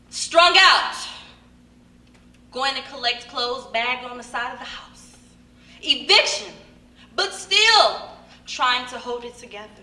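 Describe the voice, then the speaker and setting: A distant, echoey recording of a woman reciting spoken-word poetry. Her voice is intensely bright and forceful, and she performs theatrically with emphatic phrasing and dramatic pauses. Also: a little high. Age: young adult. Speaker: monologue performer. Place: stage in a roomy hall. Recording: echoey hall from far off, faint noise.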